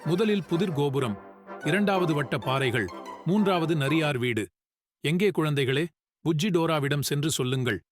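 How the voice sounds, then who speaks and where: Man voicing a higher-pitched friendly talking map. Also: animated, moderate pace, over music, close to an everyday voice. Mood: cheerful, guiding. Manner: instructive, addressing children directly. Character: friendly talking map, cheerful guide to children. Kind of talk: children's cartoon dub.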